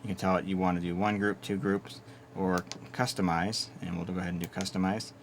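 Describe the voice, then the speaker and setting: A man giving a steady, methodical hands-on walkthrough of equipment he is reviewing. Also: deep voice, fairly quick, a little hiss or hum. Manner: steady, methodical explaining with small restarts. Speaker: product reviewer.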